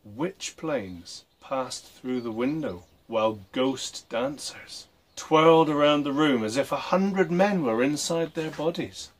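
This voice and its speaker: A man reading a story aloud for children, with expressive, deliberate phrasing. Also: a little high. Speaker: storybook reader and presenter.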